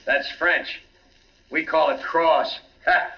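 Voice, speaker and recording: Wily, high-pitched radio police captain. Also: male voice, fairly even intonation, takes it slowly. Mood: wry. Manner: mock-casual, insinuating questioning. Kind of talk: old-time radio thriller acting. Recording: distant, muffled vintage radio recording.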